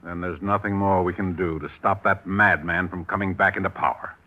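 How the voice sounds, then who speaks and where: An actor playing a brisk male intelligence chief in an old radio drama. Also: deep voice, steady tone, narrow, tinny audio, over loud music, lightly acted. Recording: very hissy old radio recording.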